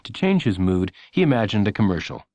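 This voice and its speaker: A man narrating a children's audiobook in an expressive, measured storytelling manner. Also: on the low side, no room sound.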